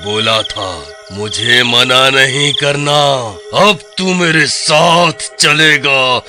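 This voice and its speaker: A man voicing a menacing, vengeful male ghost posing as a salesman in a cartoon horror story, speaking in a slow, commanding way. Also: medium pitch, bright, forceful tone, faint music behind, voice pushed down, full character voice. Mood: menacing, angry.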